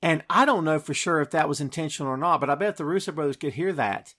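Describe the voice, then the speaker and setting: A man hosting a podcast, chatting casually about movies in an animated, emphatic, slangy way. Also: fairly high pitch, fast. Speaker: podcast host. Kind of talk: casual movie review chat.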